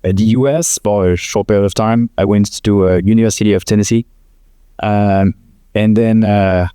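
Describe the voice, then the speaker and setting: Man, French accent, middle-aged, halting with fillers, low-pitched, mellow tone. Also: steady tone, clean tone, no echo, studio quality. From France. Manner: hesitant, many "uh" fillers. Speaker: company president, guest. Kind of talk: interview conversation.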